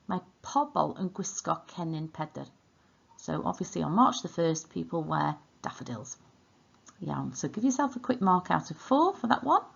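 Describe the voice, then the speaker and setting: A woman's voice: fairly low pitch, gravelly, dull audio. A woman with a Welsh accent teaching an online language lesson, enunciating and repeating words in an instructional way.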